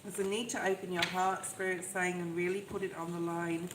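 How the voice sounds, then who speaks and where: A soft-voiced woman giving a live tarot reading, talking in a chatty, reassuring way and often checking in with "okay?". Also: lowish voice, flat delivery, a little fast. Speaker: tarot reader. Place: outdoors in the rain. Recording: echoey, with loud rain noise. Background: rain noise.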